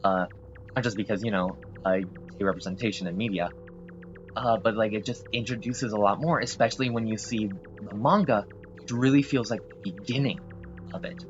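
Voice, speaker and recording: Low-voiced man rambling over gameplay. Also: fairly even intonation, moderate pace, lo-fi sound, over music. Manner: rambling, apologetic, hesitant with many fillers. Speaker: gameplay video host.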